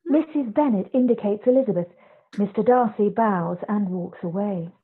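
Husky woman narrator, muffled audio. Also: medium pitch, normal speed. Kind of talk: scripted narration of a play. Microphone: video call. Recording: dull, muffled video call audio.

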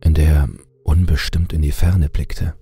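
Creepypasta narrator: man, extremely low and dark voice, scripted storytelling. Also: little pitch movement, unhurried, slightly rough, soft background music.

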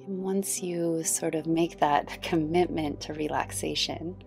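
A woman guiding a yoga nidra meditation in a soothing, gentle, unhurried manner. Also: low-pitched, fairly even intonation, gravelly. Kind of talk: guided meditation. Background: background music.

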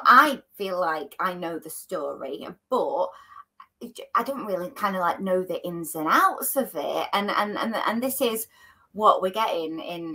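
A woman's voice: on the low side, pushed and bright. A middle-aged woman hosting an interview over a video call, asking eager, teasing questions. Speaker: host.

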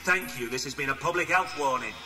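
A man's voice: mid-range voice, fast talker, distant mic, natural voice. An officious public warning voice of a virtual world, acted by a man, sounds set back from the microphone over prominent music. The delivery is formal and bossy, with a short fuse, as in an audiobook. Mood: stern, official. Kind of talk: announcement in an audiobook.

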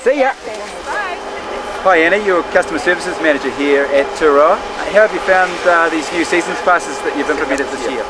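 NZ male interviewer on location, slightly high voice, chatty, outdoor noise behind him. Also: brisk. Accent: New Zealand. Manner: chatty, jokey. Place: outdoors at a ski field.

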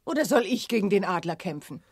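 A woman's voice: mid-range voice, expressive, fast talker, a little mellow, husky, no room sound. An actress playing a courageous, headstrong young woman adventurer in a science fiction radio play. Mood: insistent.

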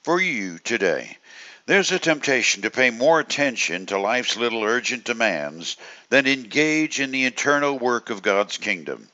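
A man hosting a devotional, reading a scripted reflection and scripture in a measured, expressive preacherly delivery. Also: mid-range voice. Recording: dull, lo-fi voice recording.

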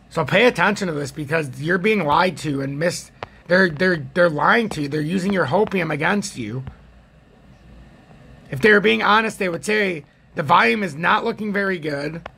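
An American man with a gravelly, husky voice giving casual, rambling, opinionated chart commentary as a trading video host. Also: high voice, normal speed, fairly bright tone.

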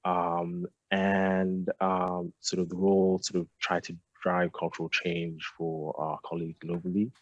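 A man's voice: sits low, completely flat delivery, unhurried, hardly any pauses, dry recording, dull audio. A young man born in Nigeria and raised in London, an architect answering hesitantly over a video call. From Nigeria, raised in South London. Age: young adult. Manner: hesitant, many ums and restarts. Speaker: architect, guest. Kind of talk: remote interview answer. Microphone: headset on video call.

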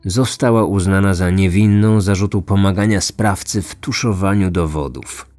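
A man's voice: low-pitched, moderate pace, darkish tone. A man narrating a scripted true-crime podcast. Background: faint music.

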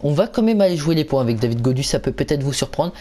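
A man's voice: fairly high pitch, sing-song, quick pace, mellow. A man giving casual commentary on a video game, hesitant and stumbling, often repeating himself. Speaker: gaming channel host.

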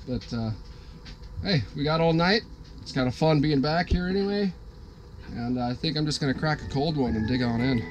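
A man's voice: a little high, normal speed, background noise. A man in his thirties filming himself on his sailboat, talking casually to the camera with lots of fillers. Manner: relaxed, easygoing, frequent "uh" fillers. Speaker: sailing vlogger. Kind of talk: casual vlog talk to camera. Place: on a sailboat at a marina, cabin and deck. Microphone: handheld camera held at arm's length.

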